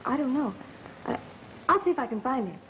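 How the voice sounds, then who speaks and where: A woman's voice: high voice, sing-song, brisk. An actress playing an evasive, anxious young woman, in 1930s film style. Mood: anxious pleading. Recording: dull vintage film soundtrack, faint noise.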